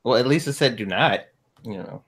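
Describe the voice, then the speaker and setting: A man's voice: mid-range voice, lively intonation, brisk, a bit bright, breathy edge. A man chatting casually on a group stream, making blunt, crude remarks. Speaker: co-host on the stream.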